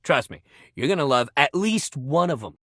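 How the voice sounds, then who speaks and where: A man's voice: fairly high pitch, expressive, normal speed, a bit bright, no room sound, clean studio sound. A man voicing a sporty young guy in a video game, an upbeat badminton lover who talks in slangy, buddy-like coach pep talk. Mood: friendly, instructive. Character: upbeat, sporty young badminton-loving dude, animated shuttlecock. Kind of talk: video game character voice acting.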